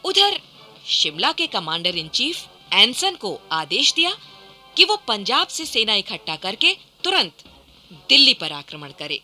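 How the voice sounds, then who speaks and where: Animated, bright-voiced woman narrating history. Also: fairly high pitch. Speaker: narrator of a historical radio play. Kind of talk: dramatic scripted documentary narration.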